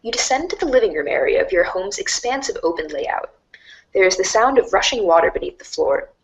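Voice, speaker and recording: A woman voicing a wry, mock-grandiose storybook narrator in a dramatic read-along style, deadpan and sardonic. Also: medium pitch, fairly quick, lightly acted, rough recording. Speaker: narrator of a fan readers' theater show.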